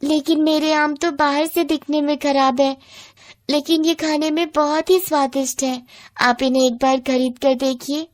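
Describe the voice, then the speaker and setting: A high-pitched, plaintive young village woman, acted out by a female storyteller. She is poor and earnest, pleading her case in a moral bedtime tale. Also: fairly even intonation, normal speed, lightly acted.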